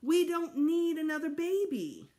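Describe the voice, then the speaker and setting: A woman's voice: high-pitched, very animated, unhurried, a little mellow, pitched above the performer's own voice. A woman voicing an indignant, sulky fifth-grade boy from a storybook in a protesting, exclamatory way. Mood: furious.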